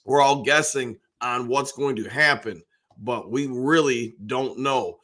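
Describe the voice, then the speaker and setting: An older man with a hoarse voice, hosting a casual live stream and rambling along with plenty of fillers. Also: medium pitch, slow pace, pushed and bright. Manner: rambling, folksy, lots of fillers. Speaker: host.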